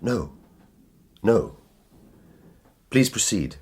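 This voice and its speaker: A slow-speaking, low, husky gentleman, who listens soberly. He answers in a measured, polite and reassuring way, in the manner of audio drama. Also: sing-song, exaggerated voice. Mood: sober, attentive. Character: attentive, sober gentleman listener, Mister Walton.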